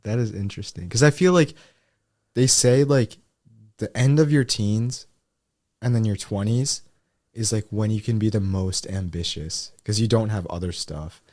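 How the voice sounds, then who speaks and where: A man talking casually on a podcast, reflective and thoughtful, often filling pauses with "like". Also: on the low side, darkish tone, no echo, clean studio sound. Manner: thoughtful, reflective, frequent "like" fillers.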